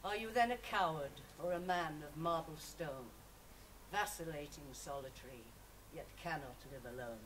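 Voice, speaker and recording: A woman's voice: low-pitched, normal speed. A woman reciting her own poems to an audience at a live reading. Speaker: poet. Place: live festival reading, picked up from a distance. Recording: noisy live recording, distant mic.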